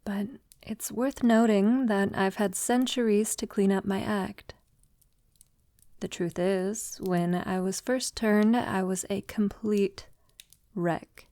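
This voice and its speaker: A woman playing a centuries-old vampire lover in an intimate audio roleplay, tender, wistful and confiding, softly spoken with lapses into whispering. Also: medium pitch, dry recording. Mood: gently amused, then somber, confessional. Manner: soft, unhurried, breathy lapses into whispering.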